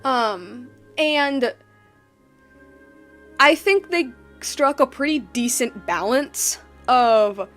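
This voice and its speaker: A woman's voice: high-pitched, expressive, drawn-out words, pauses often, fairly bright tone, soft background music. A woman giving casual, rambling gameplay commentary, slangy and informal, repeating herself for emphasis. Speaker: video game streamer.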